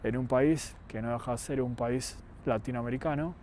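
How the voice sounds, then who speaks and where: Young male vlogger, Argentine accent, mellow mid-pitched voice, earnest and deliberate, light city noise behind. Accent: Argentine Spanish. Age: young adult. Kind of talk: reflective personal monologue to camera.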